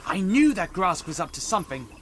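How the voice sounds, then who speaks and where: Highish-voiced young sidekick played by a man. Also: very animated, a little fast, a crowd in the background. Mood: worried. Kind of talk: fan audio drama acting.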